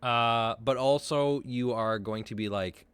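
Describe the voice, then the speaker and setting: A man's voice: mid-range voice, a little slow, over loud music. A man narrating as game master, rambling with fillers and casual swearing.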